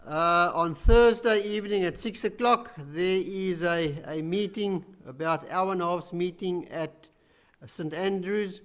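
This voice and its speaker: A man giving a welcome and announcements in a small church, loud and chatty, with many fillers. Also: sits high, measured pace, hardly any pauses.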